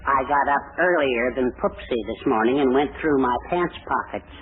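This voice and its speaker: A man's voice: medium pitch, moderate pace, close to an everyday voice. A man playing a timid, sheepish middle-aged husband and father, hesitant and mild, in a 1940s radio comedy. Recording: noisy, tinny 1940s radio broadcast.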